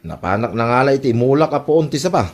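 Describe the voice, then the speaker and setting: Industrious village farmer acted by a man, good-natured, Ilocano accent, lively swinging pitch, comedy radio play. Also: fast, natural voice. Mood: earnest. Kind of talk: comedy radio-style drama acting.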